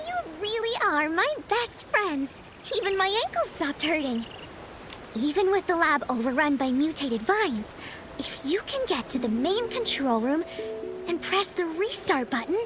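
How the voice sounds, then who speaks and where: Very high, excitable young inventor girl. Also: heavily acted. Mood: reassuring. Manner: theatrical, gushing, exclamatory. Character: excitable, dramatic young female beauty-product inventor, bubbly and proud. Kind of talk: video game cutscene acting.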